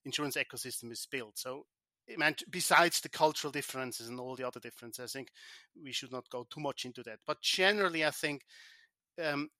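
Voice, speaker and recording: A man from Switzerland, an insurance tech founder, answering podcast questions in a halting way with many restarts and repeated words. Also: medium pitch, sharp tone, no echo.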